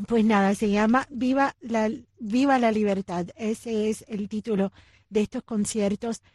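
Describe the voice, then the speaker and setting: A woman's voice: mid-range voice, fairly even intonation, a little slow, darkish tone, no echo. A woman with a Cuban Spanish accent co-hosting a radio show, telling stories in casual banter and laughing often. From United States. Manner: chatty storyteller, laughs often.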